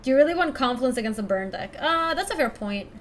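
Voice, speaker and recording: A young woman from Ecuador chatting casually through a card game stream, thinking out loud and laughing often. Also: sits high, animated, fast, a little hiss or hum. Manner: chatty, giggly, thinks aloud to chat. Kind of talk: casual live-stream commentary.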